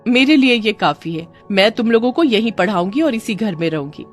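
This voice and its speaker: A woman's voice: a little high, expressive, fairly quick, soft background music. Woman playing a kind, determined schoolteacher. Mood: encouraging. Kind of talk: children's moral story voice acting.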